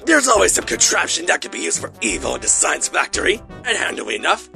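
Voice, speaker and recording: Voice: mid-range voice, sing-song, fast, creaky, music in the background. An actor voicing a boastful, pompous male Triceratops thief in a cartoon fandub. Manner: pompous, grandstanding. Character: Houdino, boastful thieving male Triceratops villain.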